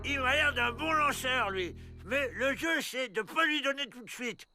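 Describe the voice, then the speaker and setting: A man voicing a dim, playful cartoon dog with a childlike, repetitive way of talking, in a French animated series. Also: extremely high pitch, moderate pace, music in the background. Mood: playful, eager. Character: dim, playful dog obsessed with fetching sticks.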